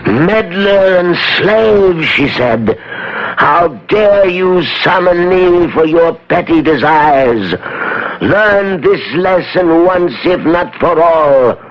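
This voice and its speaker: An actor playing a stern, reproachful occult master in a formal, portentous style of seventies radio drama. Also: male voice, high-pitched, lively intonation, slow pace, heavily acted. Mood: grave, dramatic. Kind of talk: 1970s radio drama acting. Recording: muffled vintage radio recording.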